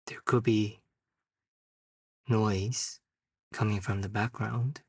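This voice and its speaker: A man guides a meditation in a lowish, husky voice. He speaks very slowly, in short phrases separated by long pauses. The sound is distant from the microphone. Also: fairly even intonation, darkish tone. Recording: distant mic in a roomy, echoing space.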